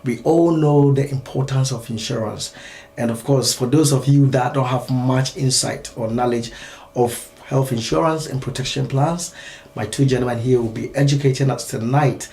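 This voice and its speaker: Man, talk show host, friendly, frequent ums, mid pitch, roomy echo. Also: moderate pace, darkish tone. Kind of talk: hosting a live phone-in talk show.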